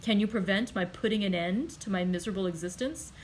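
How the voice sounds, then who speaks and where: A woman reads as a young man driven to despair, his voice raised and raw with anger and grief. He hurls accusations in loud, impassioned bursts, pitched a little higher and pushed harder than the reader's own narration. The reading keeps an even, middling register underneath the strain. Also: moderate pace, a little hiss or hum, lightly acted. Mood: anguished. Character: young man, desperate, angry, grief-stricken. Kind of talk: audiobook character reading.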